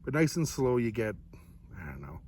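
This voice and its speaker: A man's voice: mid-range voice, very animated, normal speed, a little mellow, slight background noise. A man giving a casual, unscripted product review to viewers in a laid-back, rambling, folksy way.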